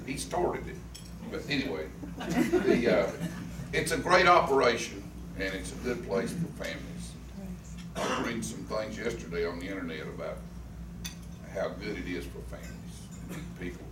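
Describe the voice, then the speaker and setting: Middle-aged man, low voice, animated, halting and joking, distant mic, echoey noisy room. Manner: chatty and halting, joking asides. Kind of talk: speech at a club luncheon. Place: club meeting room.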